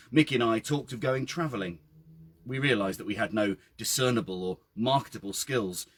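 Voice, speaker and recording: Husky, expressive British man reading a story. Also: medium pitch. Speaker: author reading own story. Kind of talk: literary story reading, first-person narration. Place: outdoors by a well.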